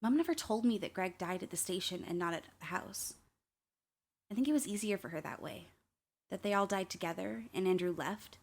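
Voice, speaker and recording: A determined young woman played by an actress narrates an audio drama at a medium pitch. The delivery is reflective and scripted, like a personal documentary. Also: brisk, close to an everyday voice. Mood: reflective, somber. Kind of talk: scripted radio play narration.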